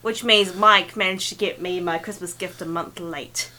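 A woman with a New Zealand accent co-hosting a podcast, playful and teasing with deadpan jokes. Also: on the low side, normal speed. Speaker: podcast co-host. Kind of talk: casual podcast banter.

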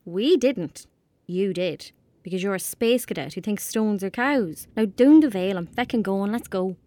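A woman's voice: medium pitch, lively intonation, moderate pace, mellow, dry recording, lightly acted. A woman playing an anxious, imaginative young girl from rural Ireland in an audio drama, with an Irish accent and blunt, colloquial talk. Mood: reluctant, bantering. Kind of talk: naturalistic audio drama acting.

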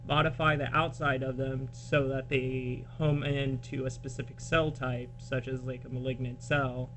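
A man's voice: mid-range voice, little pitch movement, moderate pace, a bit bright, poor audio. Male science guest over guitar music. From born in California, grew up partly in Australia. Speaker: podcast guest, biochemist. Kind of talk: casual interview, explaining work.

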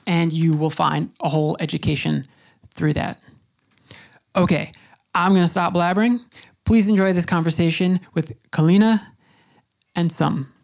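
A woman's voice: on the low side, steady tone, moderate pace, gravelly, pauses often, darkish tone. A woman hosting a podcast, chatty and upbeat, with plenty of fillers. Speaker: podcast host. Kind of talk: casual chat and intro monologue.

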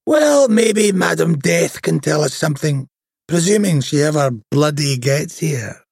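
A man voicing a gruff, middle-aged Scottish female detective inspector in an audiobook, blunt, slangy and mocking. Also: on the high side, expressive, unhurried, darkish tone, dry recording, studio quality, pitched above the performer's own voice, heavily acted. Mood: sardonic, impatient.

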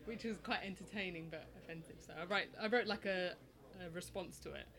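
A young woman from England with an English accent, answering interview questions in a relaxed, hesitant way with many ums and restarts. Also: lowish voice, brisk. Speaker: interview guest, oral history project maker. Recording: crowd noise in background.

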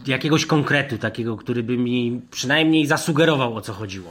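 A man's voice: medium pitch, brisk, fairly bright tone. A man in a casual football discussion, insistent and repeating phrases for emphasis. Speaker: podcast panellist.

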